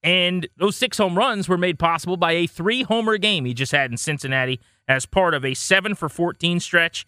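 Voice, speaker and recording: A male sports radio host with a bright, forceful voice pitched a little high. He delivers upbeat talk and interview questions, recorded very dry with almost no room sound. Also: moderate pace, few pauses, no echo.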